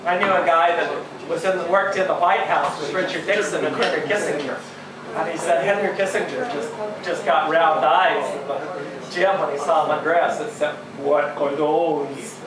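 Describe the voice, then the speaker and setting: A man's voice: on the high side, steady tone, moderate pace. A man performing a stand-up comedy set in a small bar, chatting with the audience and asking them questions. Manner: chatty crowd work, asks the audience. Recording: roomy bar, from far off, crowd. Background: audience laughing and chatting.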